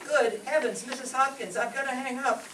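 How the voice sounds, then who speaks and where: A woman's voice: sits high, steady tone, fairly quick, close to an everyday voice. A woman playing a fretful, sympathetic suburban housewife in an old-time radio comedy on stage. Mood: defensive, exasperated. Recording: distant echoey stage, loud noise.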